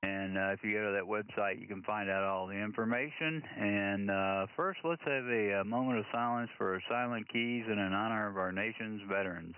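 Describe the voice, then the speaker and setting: An American man working as net control operator on a ham radio, making deliberate, orderly announcements and spelling in phonetic letters. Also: fairly low pitch, steady tone. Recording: narrow tinny radio-band sound, faint hiss.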